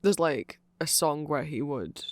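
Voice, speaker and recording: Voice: mid-range voice, a little slow. A person giving a chatty, rambling ASMR-style fan explainer in a playful, informal way. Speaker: ASMR creator explaining band lore.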